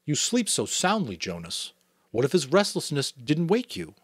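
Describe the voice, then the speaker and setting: A man voicing a gentle, good-humored father in a storybook reading, teasing and kind. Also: on the high side, huge pitch swings, normal speed, husky, dry recording, clean studio sound, pitched above the performer's own voice, heavily acted. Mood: doubtful.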